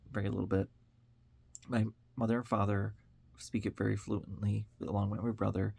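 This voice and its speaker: A man's voice: on the low side, gravelly. An American man from upstate New York giving an informal opinion monologue as an online commentator, speaking in an earnest, conversational way. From upstate New York, United States.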